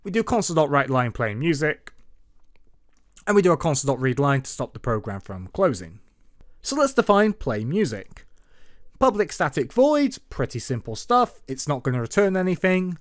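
A man's voice: fairly high pitch, big pitch swings, moderate pace. A man hosting an informal programming tutorial as a voice-over, playful and lightly sarcastic in manner. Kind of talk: informal screen-recorded tutorial narration. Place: voice-over for screen recording.

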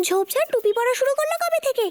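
A voice actor playing a small, watchful young cartoon animal, childlike, excitable and insistent. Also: very high-pitched, very fast. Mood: watchful, curious. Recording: loud music under the voice.

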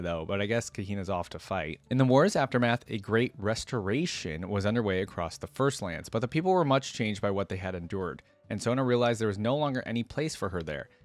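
A man's voice: lowish voice, sing-song, brisk, hardly any pauses, no room sound. A young man hosting a reaction video, reading lore aloud and adding casual, chatty commentary into a close desktop microphone. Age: young adult.

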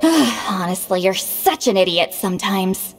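A woman playing a proud, youthful-sounding shaman in an anime game, lecturing in a brisk, exasperated manner. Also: medium pitch, animated, natural voice. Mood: indignant scolding. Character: proud, bossy, youthful-sounding female shaman called Granny. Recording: long reverb, music behind.

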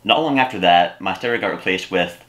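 Deadpan young man with a low voice. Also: brisk, poor audio. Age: young adult. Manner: deadpan, rambling. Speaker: comedy YouTuber. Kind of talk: scripted comedy sketch. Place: small room indoors.

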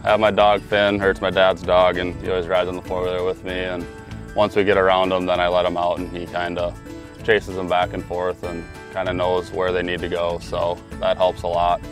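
A man's voice: deep voice, monotone, fairly quick. A young man with an American Upper Midwest accent, speaking casually in an interview in a matter-of-fact, understated way. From rural southern Minnesota, USA. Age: young adult. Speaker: college hockey player. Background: guitar music bed.

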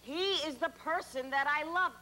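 Exasperated, bright high-voiced romantic target. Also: female voice, normal speed. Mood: firm. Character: exasperated, firm but kind woman being wooed. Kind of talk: children's TV comedy acting.

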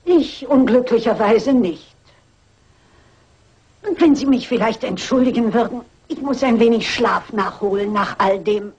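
A woman's voice: a little high, unhurried, a little mellow, breathy edge. An actress playing a shrewd, composed mature lady sleuth in a German radio crime drama, dry, precise and dignified, in a dull old recording. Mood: dry, polite.

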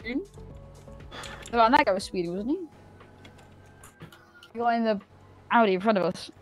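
A woman's voice: on the high side, animated, moderate pace, soft background music. A woman chatting casually as a player in a police roleplay game. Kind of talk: casual in-game radio chat.

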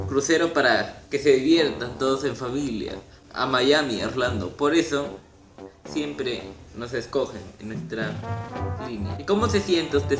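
Teenage male in an echoey room. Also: mid-range voice. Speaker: YouTuber making a prank call. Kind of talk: improvised prank phone call, posing as a prize agent. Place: small room at home, indoors. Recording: roomy echo.

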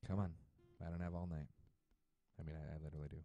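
Deep-voiced young man cracking jokes. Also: fairly even intonation, fast, dark tone, muffled recording. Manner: joking, crude, offhand. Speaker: band guitarist and singer. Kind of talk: casual banter on a livestream. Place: indoor rehearsal room with drum kit. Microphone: microphone on a stand. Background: acoustic guitar.

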